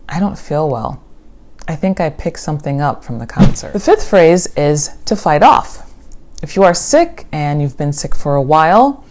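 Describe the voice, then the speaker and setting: An American woman who is an English teacher, giving a clear, scripted vocabulary lesson and reading example sentences. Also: sits low, warm tone. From United States.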